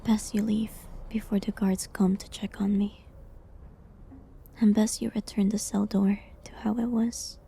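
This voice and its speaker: A woman playing a gentle, resigned prisoner accused of witchcraft in an intimate medieval audio drama, with a breathy, half-whispered, hesitant and pleading delivery. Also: mid-range voice, little pitch movement, slight background noise. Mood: tearful farewell. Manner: slow, hesitant, pleading, slightly formal old-fashioned wording.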